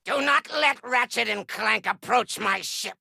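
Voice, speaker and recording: Power-hungry game villainess, high voice, heavy rasping creak, commanding, theatrical, imperious. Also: female voice, a little slow, bright, forceful tone, no room sound, clean studio sound, heavily acted. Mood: furious, menacing.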